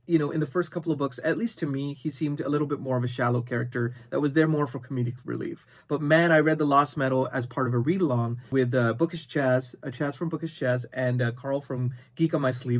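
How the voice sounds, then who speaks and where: A man hosting a book video, talking casually and unscripted to viewers, conversational and thinking aloud as he goes. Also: medium pitch. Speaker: book review video host.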